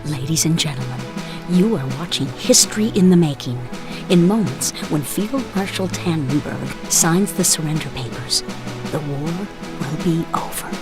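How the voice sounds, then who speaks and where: A woman playing a plucky, wry young reporter heroine in an animated game cutscene. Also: sits low, normal speed. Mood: earnest announcing. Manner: wry, pert. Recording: loud music under voice.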